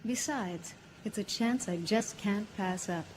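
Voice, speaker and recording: An actress playing a sly, sardonic smuggler with a sultry, teasing manner in an audio drama. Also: female voice, medium pitch, unhurried, background noise, lightly acted. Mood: shrewd. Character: sly, sardonic female smuggler, a jilted old flame. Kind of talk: space-opera audio drama acting.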